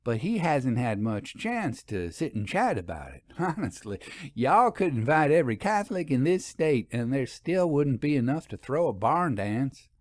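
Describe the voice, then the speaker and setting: A man's voice: medium pitch, sing-song, no room sound, natural voice. Man voicing a folksy young country wife. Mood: wry, cheerful. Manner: folksy, teasing, quick to laugh.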